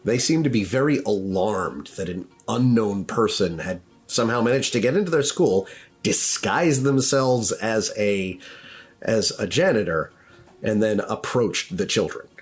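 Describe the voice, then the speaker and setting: A man's voice: on the low side, unhurried, faint music behind. A man narrating a tabletop game as game master, conversational and improvised. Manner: animated, conversational.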